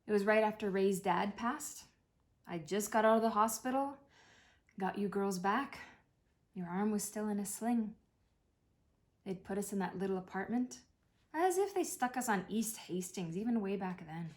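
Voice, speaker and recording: A woman reading a play as a dying older mother, wry, tender and apologetic, with a gentle, reflective way of talking. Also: medium pitch, moderate pace, lightly acted. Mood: reminiscing.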